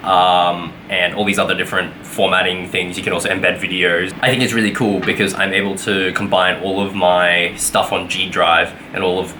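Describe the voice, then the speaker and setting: A man's voice: low-pitched, little pitch movement, moderate pace, few pauses, sharp tone, a little hiss or hum, low-quality recording. A young man giving a casual weekly vlog update, rambling with lots of fillers and self-corrections. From Australia. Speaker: vlogger.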